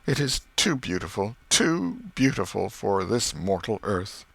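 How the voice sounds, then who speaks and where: A man's voice: fairly low pitch, animated, measured pace, vocal fry, fairly bright tone, breathy edge, dry recording, full character voice. A man voicing gushing church ladies in an audiobook, swooning with exaggerated admiration.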